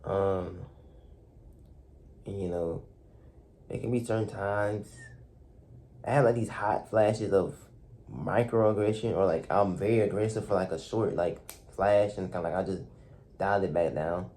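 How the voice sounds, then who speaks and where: A young man speaks quietly in a low, mellow voice. He talks in a soft, hesitant way, full of fillers and restarts, reflecting aloud to a camera in a dark room. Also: unhurried, pauses often. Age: young adult. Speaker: vlogger.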